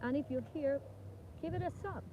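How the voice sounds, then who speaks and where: A woman's voice: high-pitched, normal speed, mellow. A singer-songwriter woman originally from the Dominican Republic, chatting casually to camera and rambling with self-corrections. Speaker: singer-songwriter and music producer. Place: outdoors with flies around. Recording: dull lo-fi sound with faint background noise.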